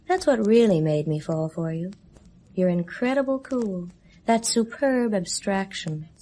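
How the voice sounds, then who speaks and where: A woman's voice: mid-range voice, dark tone, lightly acted. An actress playing a restless, bored young woman in a 1970s radio drama, speaking in a languid, sighing, bitterly weary way.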